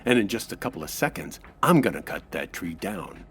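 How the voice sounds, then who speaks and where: An actor playing a sardonic, menacing gangster boss in a comedic old-time radio crime drama, husky and clipped. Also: male voice, deep voice, big pitch swings, brisk, fairly bright tone, heavily acted. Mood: cold, impatient. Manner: clipped wisecracking tough-guy talk.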